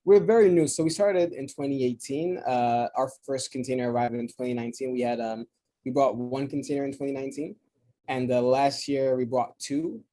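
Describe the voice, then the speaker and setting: A man's voice: mid-range voice, steady tone, fairly quick, dark tone. A man in his thirties, from DR Congo, explaining his coffee business in a casual interview, thoughtful and prone to restarts. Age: 30s. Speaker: coffee importer guest. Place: New York City.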